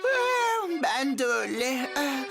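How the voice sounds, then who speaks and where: A woman's voice: sits high, sing-song, takes it slowly, gravelly, exaggerated voice. A woman voicing a cheeky, bragging small boy who plays pirate captain in a children's cartoon dub. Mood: cocky. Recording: music under the voice.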